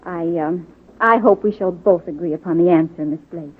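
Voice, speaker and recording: A dignified, exacting but kindly head nurse speaks in formal, measured phrases. Her voice is slightly husky, heard through a narrow, phone-like old radio recording. The delivery is classic 1940s radio drama. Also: fairly low pitch. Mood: measured, instructive.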